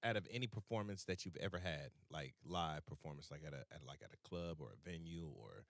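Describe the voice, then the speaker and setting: A man's voice: rumbling low, expressive, fairly quick, gravelly, a bit bright, dry recording, clean studio sound. A man hosting a music interview, chatting casually with lots of fillers and restarts. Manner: laid-back, many fillers and restarts. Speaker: radio host and playlist curator.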